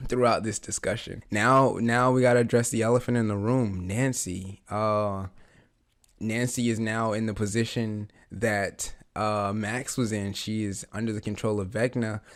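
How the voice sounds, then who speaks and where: A man with a raspy voice, just getting over the flu, talking through a TV episode in a rambling, eager way with lots of fillers. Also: on the low side, steady tone, a little slow. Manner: rambling, many fillers and restarts, eager fan. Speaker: reaction channel host. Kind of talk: casual reaction commentary.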